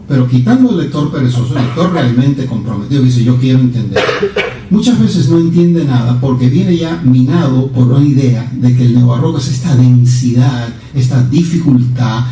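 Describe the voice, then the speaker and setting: A Cuban-born man, a guest poet answering questions in a lively, wandering way, often checking for agreement with the listener. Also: mid-range voice. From Cuba. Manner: animated, digressive, asks for agreement with "¿no?". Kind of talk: discursive talk and Q&A answers. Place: lecture room. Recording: roomy hall, speaker far from the mic, faint hiss.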